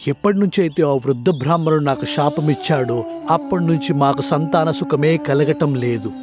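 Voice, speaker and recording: A man voicing a sorrowful, guilt-ridden king in an animated moral story, speaking in a solemn, formal way. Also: a little high, normal speed, natural voice. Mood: sorrowful, regretful. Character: sorrowful, guilt-ridden childless cursed king, humble and pleading. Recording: background music under the voice.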